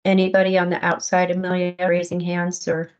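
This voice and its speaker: A woman chairing a committee meeting on a video call, brisk and correcting herself as she reads. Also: fairly low pitch, monotone, darkish tone, smooth, muffled recording.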